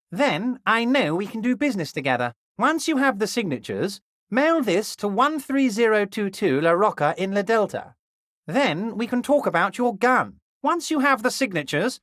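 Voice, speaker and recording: A man voicing a scheming middle-aged union boss in a video game, folksy and wheedling, friendly on the surface with a quiet threat underneath. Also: high-pitched, no echo, clean studio sound. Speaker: game character voice.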